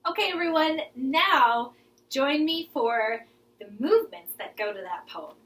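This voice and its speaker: A woman's voice: sits high, animated, unhurried, a bit bright. A young woman teaching a children's lesson in a simple, instructive way that invites listeners to join in. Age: young adult. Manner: simple, instructive, encouraging participation. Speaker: children's garden class teacher. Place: living room at home, indoors.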